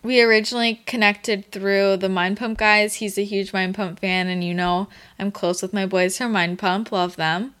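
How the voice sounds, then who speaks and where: A woman's voice: mid-range voice, steady tone, moderate pace, few pauses, a bit bright, clean tone. Enthusiastic woman podcast host. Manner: enthusiastic, chatty, laughs while talking. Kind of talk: ad read and guest introduction.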